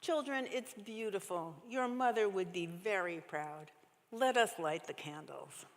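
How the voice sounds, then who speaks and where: A woman voicing a gentle, tender father from a storybook, in a reverberant church hall. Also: mid-range voice, expressive, measured pace. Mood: moved, tender.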